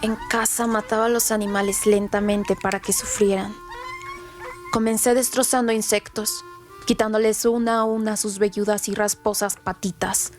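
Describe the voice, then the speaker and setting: A woman's voice: mid-range voice, flat delivery, moderate pace, faint music behind, natural voice. A woman playing a cold, sadistic, withdrawn young boy, confessing in a detached, matter-of-fact way in a radio play. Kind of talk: dramatic first-person radio play monologue.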